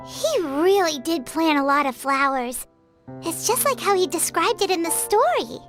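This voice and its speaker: A tiny, naive fairy companion in a video game, extremely high and squeaky. The delivery is bubbly and childlike, swinging widely in pitch. Music plays clearly behind the voice. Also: female voice, expressive, moderate pace, a bit bright. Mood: chatty. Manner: exclamatory, refers to self in third person. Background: background music.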